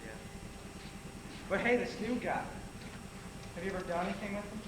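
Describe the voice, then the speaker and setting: A man's voice: high voice, moderate pace, close to an everyday voice. A young man acting a supportive teenage friend in a stage play, giving casual, declarative encouragement. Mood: sympathetic, curious. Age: young adult. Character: supportive teenage guy giving blunt romantic advice. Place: on stage in a school theatre. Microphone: distant audience camera. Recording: distant, echoey theatre, loud audience and noise.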